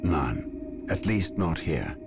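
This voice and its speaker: An actor playing a loyal, scholarly warrior in a game cutscene, grave and deliberate. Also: male voice, low voice, animated, loud music behind the voice, exaggerated voice. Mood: grave, studious. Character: solemn, scholarly, loyal male warrior librarian. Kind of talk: video game cutscene acting.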